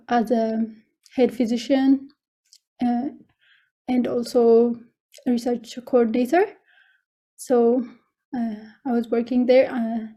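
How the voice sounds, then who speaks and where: A woman's voice: on the high side, dark tone. A young woman with an Ethiopian accent giving an informal online presentation, hesitant and often repeating her words. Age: young adult. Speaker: scholarship student presenter. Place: online video meeting.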